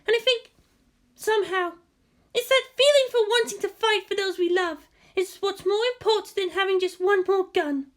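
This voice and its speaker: Earnest, very high-voiced teenage girl. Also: normal speed. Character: earnest, caring teenage girl in fan fiction.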